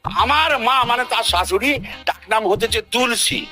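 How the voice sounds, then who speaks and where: A man playing a stubborn, literal-minded village husband in a comic cartoon, his voice pitched very high and quarrelsome. He grumbles and argues with a rustic drawl, with clear music running under the dialogue. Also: measured pace. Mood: explaining. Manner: rustic, argumentative, mishears everything. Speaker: witness in a court case.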